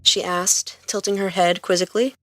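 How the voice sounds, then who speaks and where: A woman's voice: mid-range voice, fairly even intonation, normal speed, fairly bright tone. A woman reading scripted fiction aloud as the narrator of a story.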